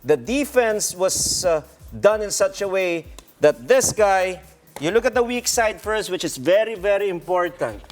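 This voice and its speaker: A middle-aged man, a coach explaining basketball plays in a deliberate, emphatic teaching manner in a TV studio. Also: high-pitched, animated. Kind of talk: explanatory play breakdown.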